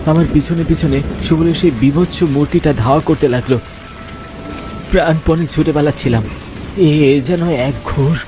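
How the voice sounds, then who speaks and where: A man's voice: a little high, normal speed, over music. Man narrating a horror cartoon. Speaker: narrator and voice actor. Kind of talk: dramatic horror storytelling.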